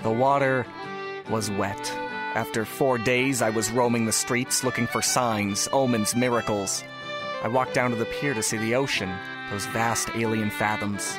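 An actor playing a wistful, mystery-loving man who narrates a reflective letter to his brother in an audio drama, in measured, literate phrases. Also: mid-range voice, natural voice. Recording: music behind the voice.

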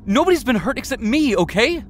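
A man's voice: very high-pitched, big pitch swings, fairly quick, a little hiss or hum. A cornered teen boy. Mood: pleading. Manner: defensive, hesitant, pleading. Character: secretive teenage boy caught lying, Russell. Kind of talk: audio drama acting.

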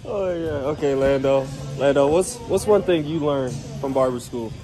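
A man's voice: medium pitch, normal speed, music in the background, low-quality recording. A young man filming a casual vlog at a barber school and a gym, teasing and laughing as he asks classmates questions on a handheld phone. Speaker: vlogger and barber student. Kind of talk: casual joking vlog chat. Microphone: handheld phone camera.

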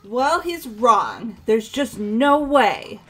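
A woman's voice: on the high side, sing-song, extremely slow, faint music behind, exaggerated voice, rough recording. A woman voicing a loyal, emotional teenage girl in a visual novel game, pleading and stammering. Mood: disbelieving.

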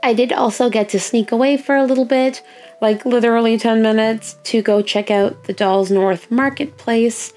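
A young Canadian woman with a hoarse, gravelly voice, chatting casually in a vlog voice-over about her weekend. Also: a little high, fairly even intonation, normal speed, darkish tone, faint music behind. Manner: chatty, upbeat recounting. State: hoarse, lost voice. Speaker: doll artist vlogger.

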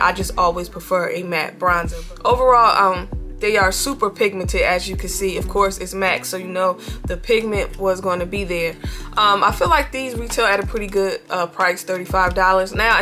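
Bright-voiced young woman with a cold. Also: medium pitch. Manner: relaxed, chatty, addresses viewers as y'all. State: has a cold, sniffly. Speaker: beauty vlogger. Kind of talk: casual makeup demo. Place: bedroom, indoors, seated before a headboard.

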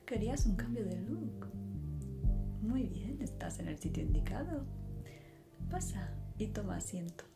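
A young woman whispering softly and breathily in a slow, soothing ASMR roleplay as a barber. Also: mid-range voice, lively intonation, gravelly, loud music behind the voice. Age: young adult.

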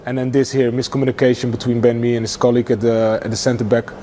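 Halting mellow-voiced male football pundit. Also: mid-range voice, little pitch movement, slight background noise. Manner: halting, many fillers and restarts. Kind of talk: studio match analysis. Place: TV studio.

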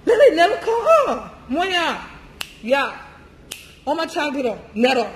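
A woman's voice: very high voice, very animated, slow pace. A young woman vlogging as she walks through a buffet restaurant, talking in a chatty, rambling way with many fillers, holding the camera at arm's length. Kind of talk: casual vlog walkthrough. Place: busy indoor buffet restaurant. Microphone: handheld camera at arm's length. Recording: noisy restaurant ambience.

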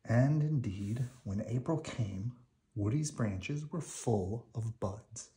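A man narrating a children's picture book in an expressive read-aloud style. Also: mid-range voice, takes it slowly.

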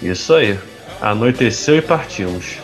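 A man's voice: on the low side, animated, a little slow. A young man playing an evasive, troubled rich playboy in an audio drama. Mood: evasive, affectionate. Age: young adult. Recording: music under the voice.

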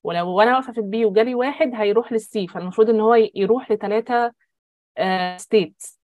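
A woman's voice: mid-range voice, fast talker, dry recording, narrow, tinny audio. A woman with an Egyptian accent, explaining a compiler lesson step by step on a video call. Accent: Egyptian Arabic. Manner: explains step by step, thinking aloud. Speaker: teaching assistant. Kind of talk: online lecture.

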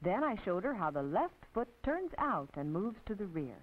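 An American woman narrating an instructional film as a teacher, in a precise, didactic, scripted manner with measured phrasing. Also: mid-range voice, sing-song, a little hiss or hum. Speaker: narrator and physical education instructor. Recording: tinny, band-limited 1950s film soundtrack.

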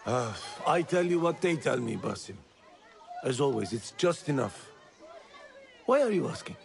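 A man playing a weary, cautious older fixer in a video game, gruffly fatherly and admonishing. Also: mid-range voice, animated, crowd noise. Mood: cautioning. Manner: admonishing, deliberate. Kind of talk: video game cutscene acting.

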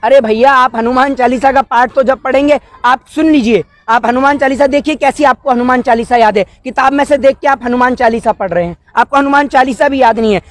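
High-pitched indignant young man. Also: fairly quick, few pauses. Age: 20s. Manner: indignant, argumentative, rhetorical questions. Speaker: man interviewed by reporters. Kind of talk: impassioned street interview on politics. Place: outdoors by a wall. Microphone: cluster of handheld news microphones held close.

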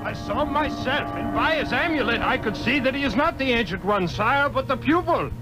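An actor voicing an excitable, servile slave creature in a 1960s radio drama. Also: male voice, extremely high pitch, fairly quick, low-quality recording. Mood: servile, excited. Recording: old radio drama with music behind.

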